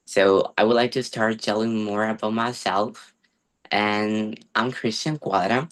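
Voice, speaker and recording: Eager low-voiced teenage man on a call. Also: fairly even intonation, unhurried, a bit bright. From El Salvador. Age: teens. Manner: eager, friendly, with fillers. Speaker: international webinar speaker. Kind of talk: prepared presentation on video call. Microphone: video call.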